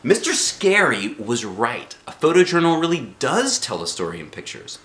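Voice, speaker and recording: An excitable, boastful little first-grade girl , voiced by a man reading a storybook, narrates her journal with earnest drama. The delivery swoops up and down with drawn-out emphasis, in a roomy space. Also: medium pitch. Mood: pleased. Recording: roomy home recording.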